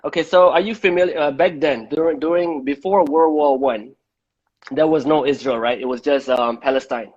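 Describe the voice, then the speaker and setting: A man's voice: fairly high pitch, normal speed, husky. A man from Malaysia hosting a casual live-stream interview, often repeating words before he goes on. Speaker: host of a live-stream debate. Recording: dull lo-fi live-stream audio.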